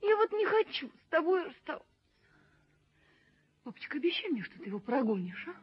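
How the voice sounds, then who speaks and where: A woman's voice: sits high, very animated, brisk. An actress playing a willful, proud young daughter in a radio play, emotional and insistent in her delivery. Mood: pleading, coaxing. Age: young adult. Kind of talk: radio play stage acting. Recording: muffled 1970s radio drama recording.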